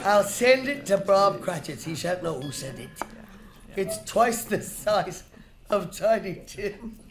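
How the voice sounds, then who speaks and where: A woman's voice: medium pitch, expressive, takes it slowly. A woman playing a joyful, generous reformed old male miser, theatrically and exuberantly, in a live radio drama. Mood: giddy delight. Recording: live stage, music and audience behind.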